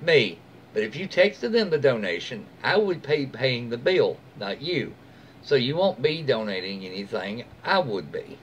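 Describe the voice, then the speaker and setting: A middle-aged man reads stories aloud at home in an informal, conversational way. His pitch is mid-range and moves around a lot. Clear room noise sits behind his voice. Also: big pitch swings, unhurried, dull audio. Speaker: story-reading host. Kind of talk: reading forum stories aloud with casual asides. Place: small room at home, seated in an armchair.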